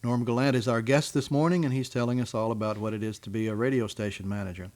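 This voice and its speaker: Folksy middle-aged man, mid-pitched voice. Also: fairly even intonation, fast talker, darkish tone. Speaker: guest interviewer on a radio talk show. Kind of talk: casual radio interview. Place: small radio station studio.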